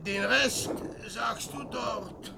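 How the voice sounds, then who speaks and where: A man's voice: very high-pitched, very slow, creaky, noisy background, exaggerated voice. A man voicing a proud, fearful traveler at sea who blusters and breaks into frantic outbursts, in a classic radio drama.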